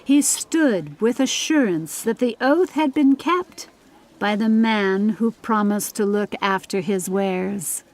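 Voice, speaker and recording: A woman's voice: mid-range voice, takes it slowly. A woman narrating a scripted audiobook story as a storyteller. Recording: music behind the voice.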